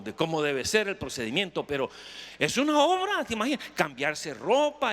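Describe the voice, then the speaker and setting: A man with a Costa Rican accent delivers a sermon in a long, ringing hall reverb. His fairly high voice moves in wide, lively swings as he repeats phrases and questions the congregation emphatically. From Costa Rica. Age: middle-aged or older. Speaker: pastor preaching. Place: camp meeting hall with congregation.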